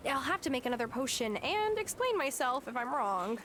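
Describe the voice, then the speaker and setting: A friendly young farm woman in a cozy video game. She talks conversationally in a high, expressive voice with faint noise behind. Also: brisk. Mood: unsure. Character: friendly young woman farmer, newcomer from city.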